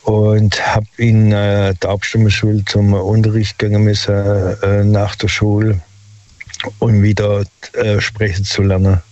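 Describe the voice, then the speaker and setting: An older man calls in and tells his story haltingly, with many filler sounds. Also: lowish voice, monotone, a little mellow, no room sound. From Stuttgart area. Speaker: caller. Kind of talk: phone-in storytelling. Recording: dull lo-fi phone line.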